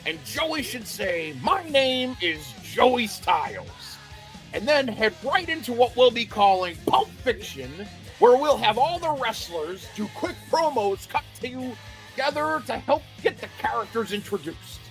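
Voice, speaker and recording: A man's voice: very high voice, sing-song, measured pace, a bit bright, full character voice. A man playing a fervent, defiant wrestling promoter who rallies his crew with grandiose speeches in an audio play. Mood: grudging, then eager. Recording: faint music behind the voice.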